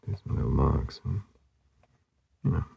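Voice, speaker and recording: A man narrating casually as he draws, laid-back and murmuring, with a husky, gravelly voice that often trails off. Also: extremely low pitch, moderate pace, dull audio. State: losing his voice, hoarse. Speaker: artist hosting a drawing channel. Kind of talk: casual narration while drawing.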